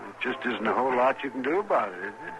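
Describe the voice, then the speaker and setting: An actor playing a fussy, kindly old frontier town doctor with a creaky voice in an old-time radio western. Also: male voice, medium pitch, expressive, quick pace, close to an everyday voice. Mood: concerned. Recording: old radio drama, faint background noise.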